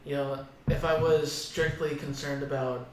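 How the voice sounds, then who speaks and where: A man co-hosting a casual gaming podcast, thinking aloud in a halting, tentative way. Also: fairly high pitch, fairly even intonation, distant mic. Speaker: podcast co-host. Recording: very echoey, off-mic, faint room noise.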